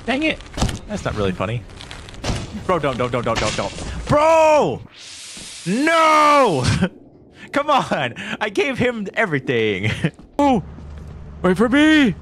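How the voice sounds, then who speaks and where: A man streaming a multiplayer game, reacting loudly with shrieks, laughs and yelps of panic. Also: sits high, huge pitch swings, slow pace, pauses often, a little hiss or hum. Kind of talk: excited gaming commentary.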